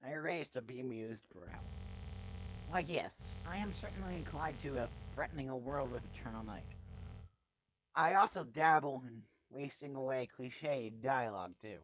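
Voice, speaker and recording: A man's voice: medium pitch, animated, moderate pace, fairly bright tone, muffled recording, a little hiss or hum. A man reading a first-person story dramatically, in a theatrical, sardonic manner with the odd stumble over words. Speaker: amateur fanfiction reader.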